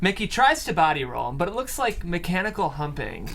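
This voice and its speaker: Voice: medium pitch, big pitch swings, a little fast, vocal fry, a bit bright. A person reading stage directions aloud at a screenplay table read.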